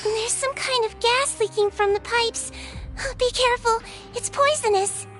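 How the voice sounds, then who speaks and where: A woman's voice: very high-pitched, measured pace. A woman voicing a sweet young head nurse in a video game, composed and precise with polite bedside manner. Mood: urgent. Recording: game music behind the voice.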